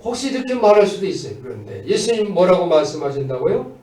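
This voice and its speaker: A man's voice: sits high, sing-song, quick pace, a little mellow. A man preaching a Sunday church sermon as a pastor, exhorting his listeners in a manner that moves between emphatic proclamation and conversational asides. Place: indoors at a church service. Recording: echoey church room, distant mic.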